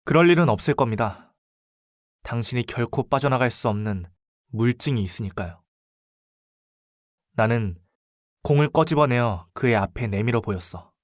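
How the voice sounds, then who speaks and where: Actor as composed, relentless young detective. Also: male voice, medium pitch, lively intonation, no echo, clean studio sound, natural voice. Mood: cool, confident, then grave narrating. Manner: polite, deliberate, accusatory, dramatic. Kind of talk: audio drama acting with first-person narration.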